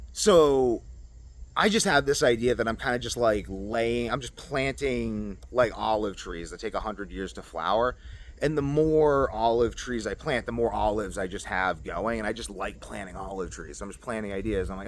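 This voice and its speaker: A man vlogger talking off the cuff in a casual monologue, rambling as he thinks aloud. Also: on the low side. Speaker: YouTube vlogger.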